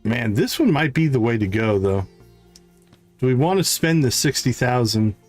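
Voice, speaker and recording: A man livestreaming a game, thinking aloud in casual commentary and reading item stats in a deliberate, musing way. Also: medium pitch, very animated, soft background music.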